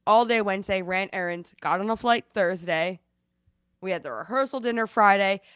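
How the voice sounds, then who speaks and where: Congested woman co-host, animated casual storyteller, dry sound. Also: medium pitch, moderate pace, clear, resonant tone, no echo. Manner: chatty storytelling, animated retelling. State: congested, losing her voice. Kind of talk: casual chat. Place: at home in Seattle.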